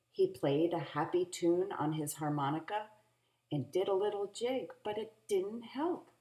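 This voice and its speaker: Female storyteller for a children's picture book: unhurried, animated, teacherly, slightly low pitch, roomy sound. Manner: animated, teacherly, drawn-out phrasing. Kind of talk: expressive read-aloud with asides to young listeners. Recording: roomy home recording with echo.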